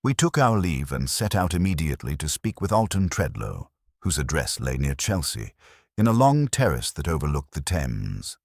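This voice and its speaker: A man narrating a scripted detective mystery in a measured, dramatic storytelling manner. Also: extremely low pitch, wildly swooping pitch, creaky, dry recording, studio quality.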